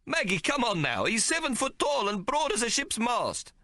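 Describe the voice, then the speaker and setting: A man's voice: wildly swooping pitch, normal speed, sharp tone, dry recording, clean studio sound, full character voice. A man voicing a sly, haggling little male tailor with a whiny, pleading manner in an audiobook. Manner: whiny, pleading, high-pitched. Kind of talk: audiobook character voice.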